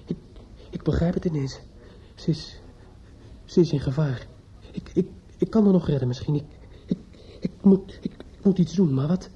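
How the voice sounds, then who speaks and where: A desperate young man in an old radio drama, stumbling over his words in agitation. His voice is very soft-edged and dark, with a breathy husk. The recording sounds dull and muffled. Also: fairly high pitch, moderate pace. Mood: panicked muttering. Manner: hesitant, stammering, agitated. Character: distraught, stammering young man, a judge's son, desperate. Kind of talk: radio play acting.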